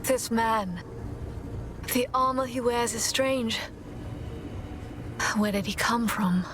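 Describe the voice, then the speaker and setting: A woman's voice: on the high side, slow, over music. A woman playing a stoic, determined warrior elder sister in a dramatic fantasy video game, speaking in a grave, cool manner. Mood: suspicious.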